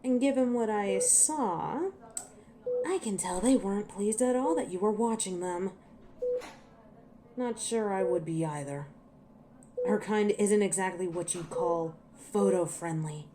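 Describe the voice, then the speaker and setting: Actress as ancient she-wolf for audio roleplay: dark mellow tone, taunting, cocky, menacing; faint noise behind. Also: mid-range voice, measured pace, lightly acted. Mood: wry, explaining. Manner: sardonic, teasing, taunting. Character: cocky ancient female werewolf, teasing, menacing and impatient. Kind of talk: scripted audio roleplay monologue to a listener.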